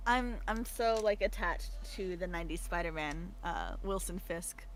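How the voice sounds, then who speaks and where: A woman's voice: lowish voice, normal speed, rough recording. A woman hosting a livestream in a casual, conversational style. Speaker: stream host.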